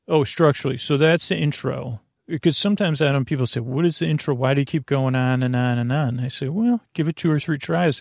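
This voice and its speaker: Creaky-voiced man rambling through a bedtime podcast. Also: medium pitch, a little mellow, dry recording, studio quality. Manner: meandering, halting, repeats and trails off mid-thought. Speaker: podcast host.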